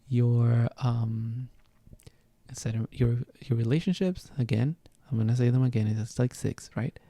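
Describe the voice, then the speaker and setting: A man's voice: mid-range voice, mellow, no room sound. A man with a Venezuelan Spanish accent, a native Spanish speaker hosting a podcast and talking reflectively, often searching for words. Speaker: podcast host. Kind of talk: casual solo podcast talk on astrology.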